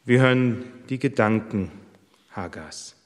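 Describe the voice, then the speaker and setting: A male pastor leading prayer and reading scripture in a measured, solemn manner during a church service. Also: on the low side, in a large hall.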